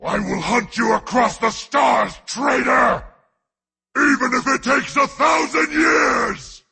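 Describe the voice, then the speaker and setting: Big dramatic alien demon leader for a game, super raspy n gravelly, slow grand speechy delivery. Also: male voice, very high voice, bright, forceful tone, shouting, soft background music, full character voice. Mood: vengeful, furious. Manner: grandiose, declamatory, proclaiming. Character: zealous alien demon-race leader, grand orator turning vengeful. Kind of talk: theatrical video game voice acting.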